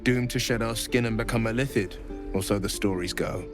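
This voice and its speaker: An actor playing a noble young hero sworn to hunt a devil, earnest, courteous and gallant. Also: male voice, fairly low pitch, fairly quick, lightly acted. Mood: determined. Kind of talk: video game dialogue. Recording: faint music behind.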